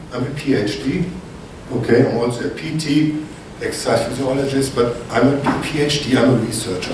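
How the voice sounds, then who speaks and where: A man's voice: medium pitch, darkish tone, a little hiss or hum. A man from Germany with a strong German accent gives a conference lecture as a research scientist and keeps checking in with the audience with "okay?". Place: conference hall. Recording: distant mic in an echoey hall.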